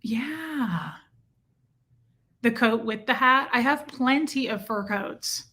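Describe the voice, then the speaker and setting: Bright chatty woman reading live chat. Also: fairly high pitch, slow. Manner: chatty, reacts to viewer comments. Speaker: livestream host reading chat. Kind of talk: casual livestream chat. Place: home room with a heater.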